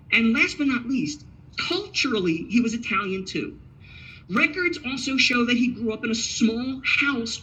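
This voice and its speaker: A man delivers a scripted history lecture in a high, bright voice with a touch of huskiness. He talks emphatically, dropping in Italian words to drive his points home. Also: slightly rough, poor audio.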